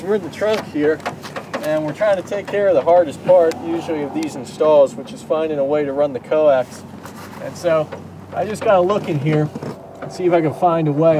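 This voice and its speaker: Easygoing man rambling through a noisy car how-to. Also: medium pitch. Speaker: host of a car radio install video. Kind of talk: casual hands-on how-to vlog. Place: in and around a parked car. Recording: steady background noise, outdoor and vehicle ambience.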